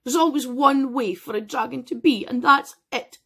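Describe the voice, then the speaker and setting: A woman's voice: sits high, moderate pace, fairly bright tone, husky, voice pushed up, full character voice. Woman doing a whiny, weary young dragon. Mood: plaintive. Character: sad, whiny young male dragon, weary of being fierce.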